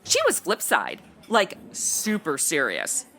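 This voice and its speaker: A woman's voice: deep voice, huge pitch swings, slow pace, gravelly, bright, forceful tone, a little hiss or hum, exaggerated voice. A woman playing a bubbly, ditzy young insurance seller in a comedy audio drama, with flippant, slangy Valley-girl chatter. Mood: flippant, gossipy.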